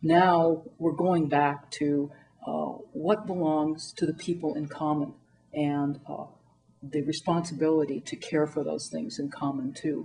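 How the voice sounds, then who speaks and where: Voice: mid-range voice, dark tone, low-quality recording. A community organizer talking in an earnest way with fillers in an interview. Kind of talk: casual interview talk.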